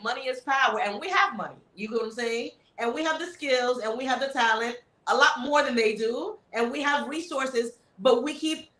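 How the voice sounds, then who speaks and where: A woman with a Miami accent speaks on a panel at a fairly high pitch. She rambles in an animated way, with plenty of fillers and trailing asides. Her voice rings out in an echoey room. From United States. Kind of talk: casual panel discussion. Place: indoor panel event.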